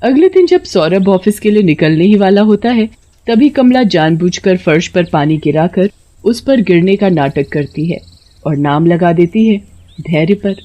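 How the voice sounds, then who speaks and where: A woman's voice: fairly low pitch, expressive, moderate pace, dark tone, highs cut off. A woman reading a scripted story as its narrator.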